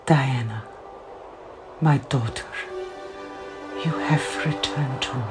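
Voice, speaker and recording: A woman acting a regal Amazon queen in a motion comic, speaking very slowly and grandly. She sounds like a loving, stately mother, with clear music behind her. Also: extremely low pitch. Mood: tearful joy. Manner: stately, tender, declamatory.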